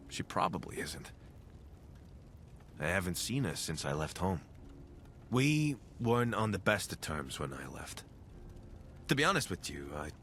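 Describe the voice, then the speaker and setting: A low-voiced video game companion, a drifter carrying old wounds. His speech is casual and halting, with a very animated melody. Some ambient noise sits behind him. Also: exaggerated voice. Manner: casual, hesitant, conversational. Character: wry, wounded wasteland companion, adult man.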